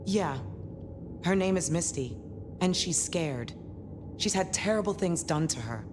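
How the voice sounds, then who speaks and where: A hard, threatening vampire woman from a video game. Her voice is very low and her lines are curt, fiercely protective. Steady ambient noise sits clearly behind her. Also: unhurried. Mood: protective, menacing.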